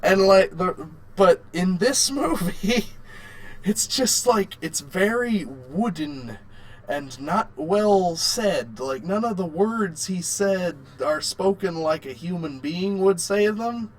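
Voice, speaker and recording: Man, podcast co-host, slow-paced, varied intonation, halting repetitions, casual critique. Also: high-pitched, expressive, poor audio. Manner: halting, repeats words as he argues. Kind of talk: casual film review chat.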